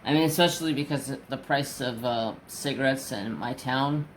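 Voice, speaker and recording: A man's voice: mid-range voice, moderate pace, a little hiss or hum, low-quality recording. A man in his forties chatting casually in a rambling, storytelling way while crafting. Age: 40s. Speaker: crafting vlogger.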